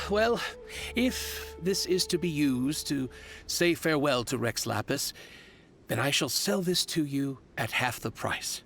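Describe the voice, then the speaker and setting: A man's voice: on the high side, big pitch swings, slow pace, fairly bright tone, faint music behind, full character voice. A man playing a polite, sentimental jade merchant in a video game, hesitant and wistful in manner.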